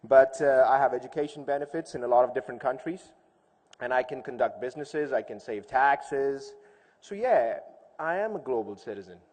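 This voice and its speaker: A man's voice: medium pitch, expressive, moderate pace, a little mellow. A man giving an informal public speech as a conference speaker, conversational, telling stories and posing rhetorical questions. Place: large hall, speaking to an audience. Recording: echoey hall with long reverb.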